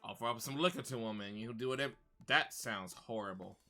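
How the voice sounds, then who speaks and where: A man's voice: on the low side, a little fast, pushed and bright, soft background music. A man giving casual, slangy live commentary with wry reactions while streaming a video game.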